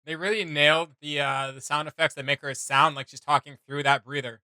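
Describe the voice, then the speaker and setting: A young man talks very quickly in a sharp, forward voice. He rambles enthusiastically through a casual reaction, recorded dry in a home studio. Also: a little high, steady tone, clear, resonant tone, no room sound. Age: young adult. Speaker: reaction video host. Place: small home studio room.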